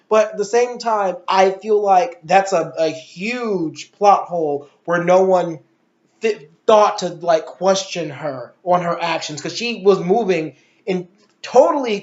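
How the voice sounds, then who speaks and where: An adult man giving a casual, opinionated movie review in a blunt, exasperated, slangy way. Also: sits high. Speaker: video reviewer and host. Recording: echoey room, dull lo-fi audio.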